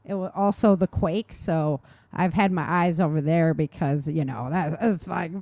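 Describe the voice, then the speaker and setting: A woman's voice: sits low, unhurried, mellow, no echo. Casual, enthusiastic woman podcaster, close dry sound. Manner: enthusiastic, informal. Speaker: podcast co-host and novelist. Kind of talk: casual chat.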